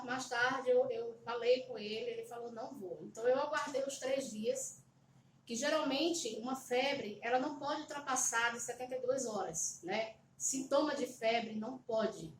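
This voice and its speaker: A woman's voice: on the high side, moderate pace. Brazilian woman telling her story in a roomy room. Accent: Brazilian Portuguese. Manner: hesitant, repeats words, frequent fillers. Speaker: vlogger telling a personal story. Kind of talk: casual storytelling to camera. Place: home, at a table. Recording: roomy echo, slightly distant mic, faint background hum.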